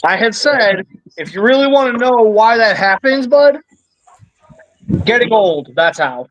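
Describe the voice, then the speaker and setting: A woman in her thirties in casual stream chat, brisk and teasing. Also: a little high, lively intonation, dull audio. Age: 30s. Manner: teasing, brisk, calls people buddy.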